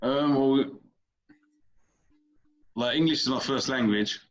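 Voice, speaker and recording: A man chats casually as a comedian guest on a podcast, rambling through his stories and stumbling over repeated words. His voice sits at an ordinary pitch. The recording sounds a little dull and muffled. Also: takes it slowly.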